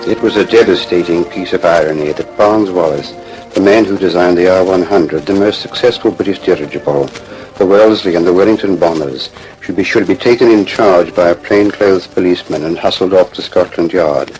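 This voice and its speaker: Very deep male radio drama narrator. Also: a little fast, darkish tone, soft background music, low-quality recording. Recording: old radio drama recording.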